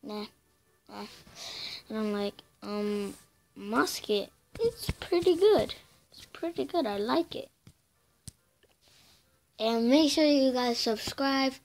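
Raspy woman channel host, slow and hesitant, mumbling asides between louder bursts. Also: on the high side, animated. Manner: halting and filler-heavy, drifting between loud bursts and mumbles. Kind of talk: casual game commentary over a screen recording.

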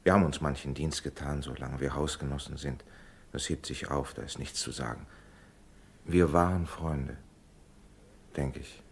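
An actor playing a composed, kindly gentleman neighbour in a 1960s radio play, husky and soothing, with educated, measured speech. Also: a bass voice, fairly even intonation, exaggerated voice. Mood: concerned, soothing.

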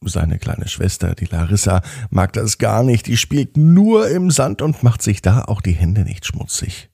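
A man hosts a children's podcast, narrating a bedtime story expressively with drawn-out phrases. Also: on the low side, very animated, creaky, dark tone, husky, no room sound, studio quality.

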